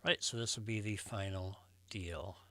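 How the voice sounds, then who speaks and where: A man giving a casual step-by-step tutorial, explaining things in a measured way with pauses. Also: fairly low pitch, a bit bright. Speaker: host of a record collecting tutorial channel.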